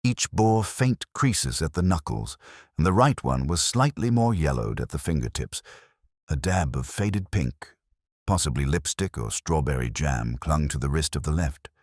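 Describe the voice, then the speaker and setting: Male narrator for scripted mystery fiction: very low, lively intonation, dry close sound. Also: normal speed, no echo. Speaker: audiobook narrator.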